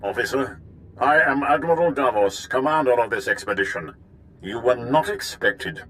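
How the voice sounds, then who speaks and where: A formal, ruthless military commander acted by a man speaks in measured phrases. His courtesy carries menace, in the theatrical manner of a video game villain, over faint background ambience. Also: medium pitch, animated, a bit bright, slight background noise, natural voice. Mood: coolly courteous. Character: formal, ruthless, scarred veteran Imperial admiral man. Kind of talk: dramatic video game voice acting.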